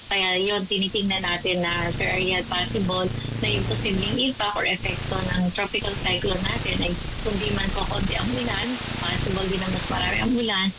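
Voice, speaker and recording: A woman with a Filipino accent giving measured expert explanations in a radio interview, mixing in English technical terms. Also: medium pitch, fairly even intonation, a loud crowd. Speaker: weather agency climate expert being interviewed. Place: radio broadcast picked up on a receiver. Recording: noisy, muffled AM radio reception, heavy static.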